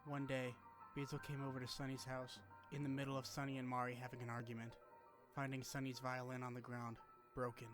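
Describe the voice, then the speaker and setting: A man delivers scripted narration in the manner of a video essay on a game's story. His voice sits at a middling pitch and stays very even, with little melodic movement. Music plays clearly behind him. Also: fairly quick.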